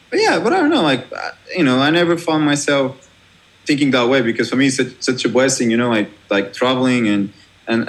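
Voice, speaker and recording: Halting Portuguese man chatting as a guest. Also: mid-range voice, low-quality recording. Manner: halting, many restarts and fillers, laughs easily. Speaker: guest DJ and music producer. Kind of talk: casual interview chat.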